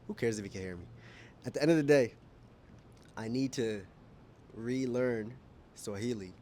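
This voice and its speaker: A man's voice: lowish voice, a little mellow, slight background noise. A young man from Kenya with an American accent, talking casually to camera on a rooftop, rambling and correcting himself as he goes. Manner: rambling, self-correcting, laughs often. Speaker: vlogger. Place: rooftop outdoors.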